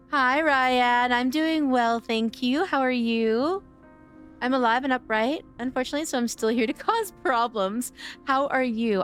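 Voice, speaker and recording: A woman livestreaming a game, chatting playfully with her viewers, her voice a bit hoarse from days of streaming. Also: on the high side. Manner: playful, chatty, greeting chat by name. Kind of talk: casual game-stream chat.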